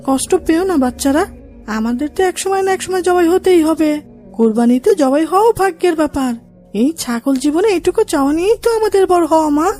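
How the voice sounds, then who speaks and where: Woman as a high, mellow, sorrowful mother goat. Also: expressive, brisk, faint music behind. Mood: sorrowful resignation. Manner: gentle, sorrowful, resigned. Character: resigned, tender mother goat consoling her young. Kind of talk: children's cartoon voice acting.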